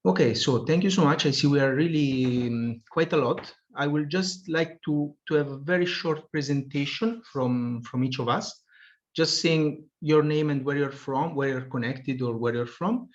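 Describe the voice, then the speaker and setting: A man's voice: a little high, moderate pace. Guy hosting a webinar on a call, stumbly and polite, kinda muffled audio. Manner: hesitant, repeats words, polite thanks. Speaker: webinar moderator. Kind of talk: hosting an online meeting. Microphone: video call.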